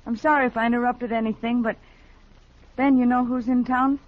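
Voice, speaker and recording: A woman's voice: fairly high pitch, steady tone, fairly quick, close to an everyday voice. An actress playing a polite, anxious young frontier woman in 1950s radio style. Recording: muffled old radio recording.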